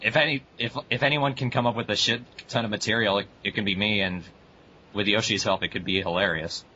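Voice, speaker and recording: A young man with a low but sharp, bright voice co-hosts a casual chat, dry and laid-back. The sound is dull and lo-fi, with a faint hiss. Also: steady tone, fairly quick. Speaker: podcast co-host. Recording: dull lo-fi recording, faint noise.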